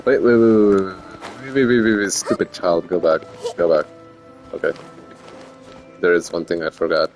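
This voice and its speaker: Low-voiced man casually commentating on a game. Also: steady tone, moderate pace, pauses often, darkish tone, soft background music, poor audio. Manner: slangy, joking. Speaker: game streamer.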